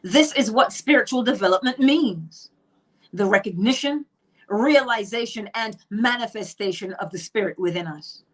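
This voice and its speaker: A woman's voice: medium pitch, moderate pace, a bit bright. A middle-aged woman from Barbados with a Barbadian accent, teaching about spiritual law in an emphatic monologue full of rhetorical questions. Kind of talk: monologue on manifestation.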